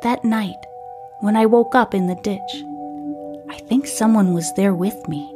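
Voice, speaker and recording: A woman narrator voicing a troubled, earnest boy, confiding quietly and hesitantly in an audiobook. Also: mid-range voice, a little slow, over music, lightly acted. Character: troubled, earnest boy recalling a strange night.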